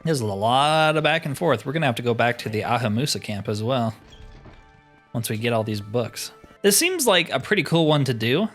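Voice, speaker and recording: A man's voice: mid-range voice, animated, normal speed, a bit bright, soft background music. A man giving casual, informal commentary on a game playthrough, musing aloud to viewers with mild exclamations. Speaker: gaming channel host.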